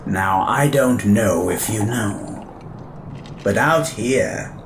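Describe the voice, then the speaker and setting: An aging alien warlord in a sci-fi audio drama, speaking slowly in a low voice that echoes around the room. He is grandiose and menacing, with a dry, self-satisfied wit. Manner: grandiose, theatrical, deliberate. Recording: roomy echo, background noise.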